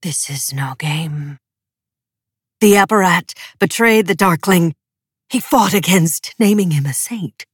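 A woman's voice: medium pitch, unhurried, breathy edge, dry recording, clean studio sound. A woman voicing a ruthless male preacher from a storybook, declaiming firm and commanding.

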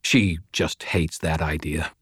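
Man playing a low-voiced, wisecracking detective. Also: steady tone, slow pace, husky, no room sound, clean studio sound, exaggerated voice. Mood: needling. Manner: sardonic, casual. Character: wisecracking male detective, dry, tough and needling.

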